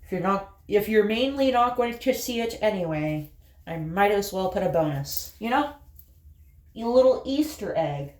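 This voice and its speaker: A woman's voice: mid-range voice, lively intonation, normal speed, echoey room. A woman with an Appalachian accent chatting casually as she does her makeup, rambling through a story with fillers and laughs. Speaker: vlogger. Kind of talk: casual chat while doing makeup.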